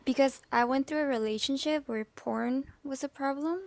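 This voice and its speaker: A woman's voice: sits high, normal speed, gravelly, darkish tone, no room sound. A woman in her twenties, not a native English speaker, calling in with a girlish voice and a hesitant way of talking. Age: 20s. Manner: hesitant, with fillers and light laughs. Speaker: caller. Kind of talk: casual call-in talk.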